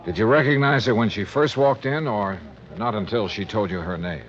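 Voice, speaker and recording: A man's voice: lowish voice, moderate pace, close to an everyday voice. An American man playing a shrewd, wry private investigator in clipped 1950s radio drama style. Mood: brisk, probing. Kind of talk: 1950s radio drama acting and first-person narration. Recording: dull vintage 1950s radio recording.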